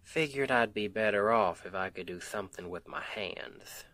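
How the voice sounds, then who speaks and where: A man's voice: fairly low pitch, moderate pace, pushed and bright, dry recording. A man playing a sweet, weary Southern US husband and roofer in an intimate ASMR roleplay, with an affectionate, teasing, folksy drawl. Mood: weary, reflective. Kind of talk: intimate ASMR roleplay, one side of a conversation.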